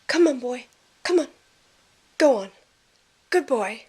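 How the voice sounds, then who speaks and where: A woman playing a gentle, shy, encouraging companion with a soft, coaxing manner in an amateur audio drama. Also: high voice, lively intonation, takes it slowly, breathy edge, exaggerated voice. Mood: affectionate.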